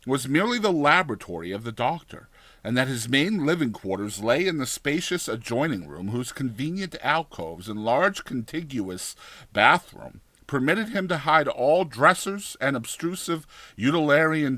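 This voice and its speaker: Expressive man narrating gothic horror, dry sound. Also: mid-range voice, moderate pace, no echo. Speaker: audiobook narrator.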